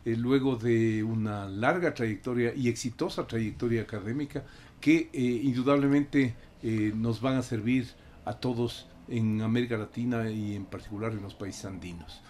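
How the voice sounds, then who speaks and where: A man hosting an academic radio interview in a courteous, halting manner, searching for his words. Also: medium pitch, darkish tone. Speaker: radio program host and interviewer.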